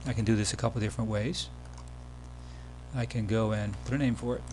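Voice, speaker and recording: A man giving a casual, step-by-step software tutorial as the presenter of a screen demo. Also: lowish voice, steady tone, brisk. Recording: background hum and noise.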